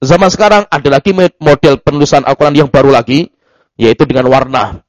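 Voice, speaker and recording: A man's voice: mid-range voice, fairly quick, dry recording, lo-fi sound. A man giving a loose religious study lecture, often restarting words and adding asides. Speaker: Islamic teacher.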